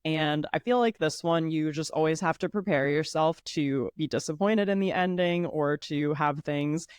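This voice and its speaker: A woman's voice: deep voice, moderate pace, a little mellow, clean tone, no echo, clean studio sound. A woman in her thirties hosting a casual solo podcast, chatty and upbeat, sounding a little congested. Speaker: podcast host.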